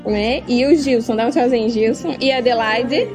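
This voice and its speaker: Brazilian missionary woman, portugal portuguese accent, welcoming appeal, slightly high voice, soft music behind. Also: normal speed. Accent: European Portuguese, picked up abroad. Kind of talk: recorded video appeal.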